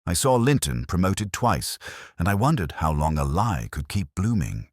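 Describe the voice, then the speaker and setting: A man voicing a wronged female clerk who confesses on tape in a composed, brittle, deliberate way, in an audio mystery. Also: sits low, big pitch swings, no echo, clean studio sound. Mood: composed, bitter.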